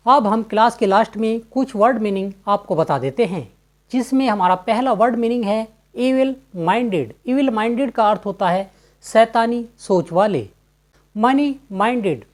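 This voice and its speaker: A woman teaching spoken English, explaining in a clear, even instructional tone and repeating each example sentence twice, very slowly and deliberately. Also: mid-range voice. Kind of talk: slide-based spoken English lesson.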